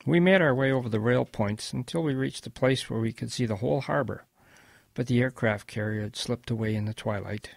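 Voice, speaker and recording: A man's voice: medium pitch, a little fast, vocal fry, natural voice. An actor playing a patient old grandfather telling of his wartime boyhood in a radio drama. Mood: wistful storytelling.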